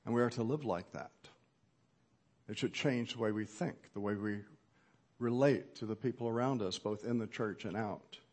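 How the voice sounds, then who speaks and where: A middle-aged man preaching an earnest sermon at a measured pace, with occasional fillers. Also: on the low side, a little mellow. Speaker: pastor.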